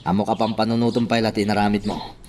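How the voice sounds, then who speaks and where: An actor with a Filipino accent playing a smug, persuasive schemer in a radio drama. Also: male voice, lowish voice, level tone, fast talker, darkish tone, a little hiss or hum, close to an everyday voice. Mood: scheming. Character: smug, scheming man directing a staged kidnapping.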